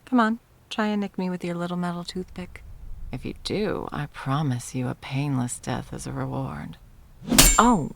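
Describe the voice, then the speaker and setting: Woman acting as undying sorceress villain, deep female voice, gravelly fry, mocking, haughty, playful, theatrical, fantasy audio roleplay. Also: a little hiss or hum, full character voice. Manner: taunting, theatrical, condescending. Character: arrogant undying sorceress tyrant, mocking, easily bored, playful. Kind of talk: ASMR roleplay audio drama.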